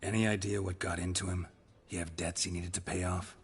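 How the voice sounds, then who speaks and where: An actor with an American accent playing a gruff, stoic monster hunter in a video game, terse and deadpan. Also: male voice, low-pitched, fairly quick. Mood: dry, probing. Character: gruff, stoic monster hunter, grown man, dryly unflappable.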